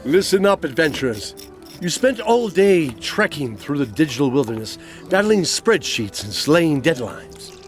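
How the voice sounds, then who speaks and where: A man's voice: a little high, sing-song, measured pace. A man reading a theatrical fantasy-themed advertisement as a dramatic, emphatic announcer. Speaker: advertisement voice-over. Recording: faint music bed.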